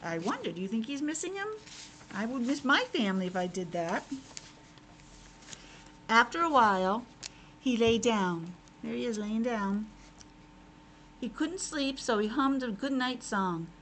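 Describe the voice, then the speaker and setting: A woman's voice: a little high, lively intonation, measured pace, long pauses, fairly bright tone, slight background noise, rough recording. A woman teacher reading a picture book aloud to young children, expressively, with simple asides to her listeners.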